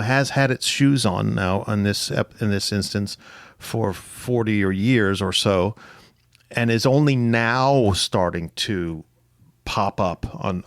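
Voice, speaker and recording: Creaky-voiced man hosting a halting interview. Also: lowish voice, no echo, clean studio sound. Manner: halting, repeats words and restarts often. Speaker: podcast host. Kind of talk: conversational interview.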